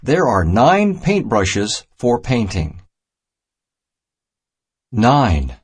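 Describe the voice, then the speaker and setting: A man reading a children's math storybook aloud in a deliberate, simple teaching manner. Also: medium pitch, huge pitch swings, slightly rough. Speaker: narrator of a children's math reader.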